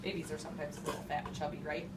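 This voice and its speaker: A woman teacher reading a storybook aloud to young children, pausing to question them and repeat their answers back. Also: low-pitched, flat delivery. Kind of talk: interactive storybook read-aloud with class questions. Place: classroom with children. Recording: roomy, distant, clearly noisy classroom recording.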